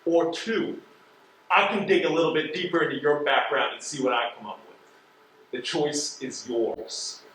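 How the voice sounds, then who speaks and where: An actor playing a pressuring, sarcastic postal inspector on stage, questioning a suspect in a deliberate, insinuating manner. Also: male voice, fairly high pitch, a bit bright, natural voice. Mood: coldly threatening. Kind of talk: stage play acting. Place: theater stage. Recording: very echoey stage, distant microphone.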